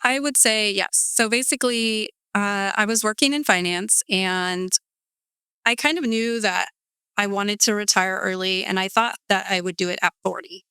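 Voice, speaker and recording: A chatty woman in her forties from California tells her story with a bright, very clear voice. She rambles, piling up "um" and "like," and the close recording is dry. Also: medium pitch, normal speed, no echo. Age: 40s. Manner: chatty, rambling, many fillers like um and like. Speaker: guest. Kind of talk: casual interview storytelling.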